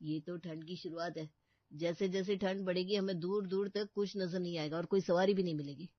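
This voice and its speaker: A man's voice: high voice, fairly even intonation, brisk, no room sound, lightly acted. A man voicing a matter-of-fact younger brother in a Hindi cartoon story, explaining as he talks. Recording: dull, muffled studio voice track.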